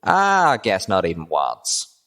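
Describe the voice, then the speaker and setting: A man's voice: low voice, wildly swooping pitch, slow, fairly bright tone, exaggerated voice. A man voicing a teasing, easygoing male pony cowboy in an audiobook, with a wry, folksy manner. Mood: amused.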